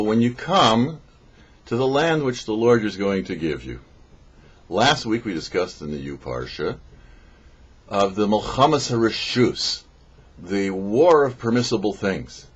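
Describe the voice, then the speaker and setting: A man's voice: on the low side, breathy edge. A man reading a scripted religious lesson as a rabbi, in a didactic manner that poses questions and then answers them. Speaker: rabbi giving a weekly Torah portion talk. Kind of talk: scripted religious teaching, read aloud. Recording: dull, slightly lo-fi recording.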